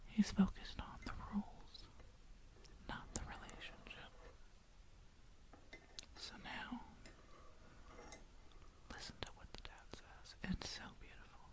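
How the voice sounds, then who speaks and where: A woman's voice: slow pace, pauses often. A woman whispering as she retells a Bible story in a casual, slangy way.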